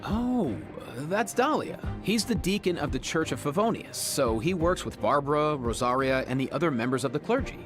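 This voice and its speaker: A woman's voice: low-pitched, lively intonation, normal speed, few pauses. A woman doing scripted narration and presenting for a program. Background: music.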